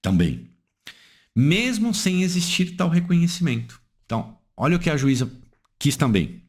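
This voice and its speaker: A man's voice: sing-song, normal speed, husky. A man with a Brazilian accent, explaining a legal decision as an analyst, emphatic and posing rhetorical questions he then answers. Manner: emphatic, swings pitch up and down for stress.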